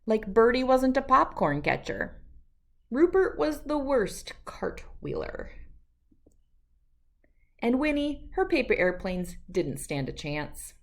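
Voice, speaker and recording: A woman reading a children's storybook aloud with expressive, deliberate, emphatic phrasing. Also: fairly high pitch, creaky. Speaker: children's book read-aloud host.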